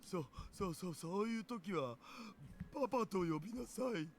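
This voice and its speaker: An actor playing a goofy, lewd young nerd with a tender side in a Japanese visual novel. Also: male voice, high-pitched, lively intonation, fast talker, very noisy.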